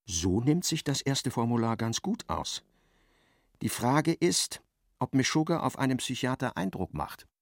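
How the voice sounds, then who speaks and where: An actor narrating a literary radio play, an expressive and ironic storyteller. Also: male voice, mid-range voice, measured pace, dry recording, studio quality. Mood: wry narration. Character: wry literary narrator of a novel, male.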